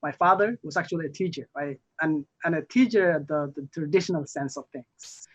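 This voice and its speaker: A young man from Somalia, a newly elected council member, answering interview questions haltingly with many fillers and restarts. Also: a little high. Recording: muffled lo-fi audio.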